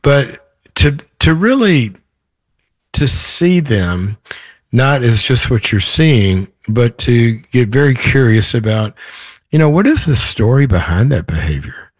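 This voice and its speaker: A man with a hoarse voice, a guest in an interview, talking in a thoughtful, rambling way with many restarts. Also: medium pitch, big pitch swings, slow, no echo. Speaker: author guest. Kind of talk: podcast interview.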